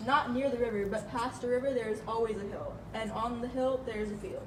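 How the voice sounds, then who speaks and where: A woman's voice: on the high side, steady tone, brisk, lightly acted. Small worn-out boy rabbit played by a woman, earnest and uneasy, amateur puppet show, distant and noisy. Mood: insistent. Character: small, worn-out, anxious yet insistent male rabbit. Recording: echoey, noisy room, far from mic.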